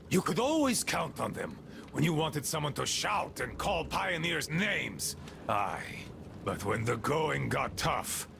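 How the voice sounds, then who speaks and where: A gravelly, bitter outpost leader. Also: male voice, mid-range voice, noisy background, exaggerated voice. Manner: ranting, mocking, scornful. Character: embittered wounded male outpost leader. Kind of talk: video game cutscene.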